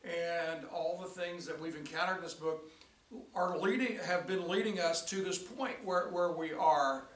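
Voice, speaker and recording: Older man teacher, raspy tired voice. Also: on the high side, pushed and bright, distant mic. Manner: rambling, many restarts and fillers. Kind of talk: recorded lesson recap. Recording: echoey room, voice from off-mic.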